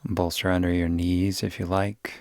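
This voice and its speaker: A man guiding a yoga nidra meditation in a soothing, unhurried way, giving gentle instructions with long pauses. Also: low-pitched, flat delivery, clear, resonant tone, no room sound, studio quality. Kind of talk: guided meditation.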